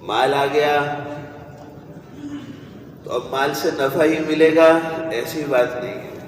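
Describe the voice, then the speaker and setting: A man preaching a religious sermon in a deliberate manner, with rhetorical repetition and pauses. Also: a little high, fairly even intonation. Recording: echoey hall from far off, noisy.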